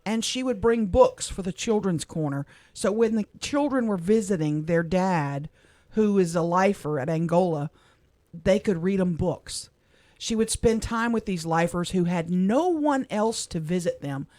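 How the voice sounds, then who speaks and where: Middle-aged woman, from Louisiana, soft heartfelt reading, dry studio sound. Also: fairly low pitch, animated, unhurried, no room sound. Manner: heartfelt, soft-spoken, emotional. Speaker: radio co-host. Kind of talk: reading a personal letter on air. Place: radio studio.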